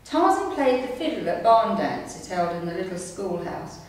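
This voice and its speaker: A woman in her sixties reads her written memoir aloud to an audience in a measured storytelling manner. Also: medium pitch, big pitch swings. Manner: measured storytelling, reading from pages. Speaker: amateur writer reading her own story. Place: indoor room at a community centre, seated. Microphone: distant camera microphone. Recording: echoey room, distant mic, faint noise.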